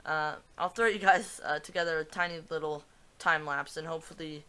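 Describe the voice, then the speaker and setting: A man's voice: a little high, fairly even intonation, fairly quick, pushed and bright, clear, resonant tone. A man hosting a casual gaming video, thinking aloud in a loose, rambling way as he talks over his own footage. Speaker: gaming channel host. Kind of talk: casual gameplay commentary.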